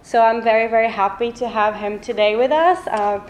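A woman's voice: on the high side, normal speed, muffled recording. A woman from Brazil giving a semi-formal introduction of a guest speaker as a student chair.

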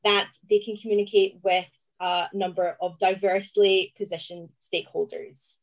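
Lively Glaswegian woman, fairly high voice. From Scotland. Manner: chatty, animated, laughs often. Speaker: classics researcher, guest. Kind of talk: conversational podcast interview.

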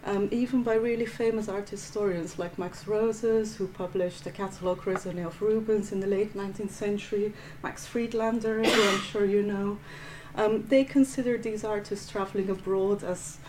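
A woman from Flanders with a Flemish accent, a museum curator giving a measured art history lecture with frequent restarts. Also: mid-range voice, darkish tone, a little hiss or hum, rough recording. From Belgium (Flanders). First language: Dutch. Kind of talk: prepared lecture.

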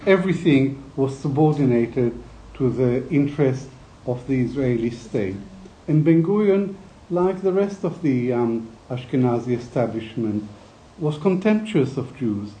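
An older man giving a reflective academic talk, speaking in a measured, deliberate way with hesitant pauses. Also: medium pitch, animated, dark tone, a little hiss or hum, poor audio.

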